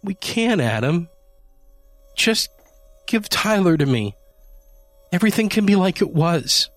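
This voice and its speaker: Man voicing a young woman, shrill, pleading, high falsetto, storybook. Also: huge pitch swings, slow, no echo, soft background music, heavily acted. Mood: desperate shrieking. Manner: shrieking, pleading in a raised falsetto.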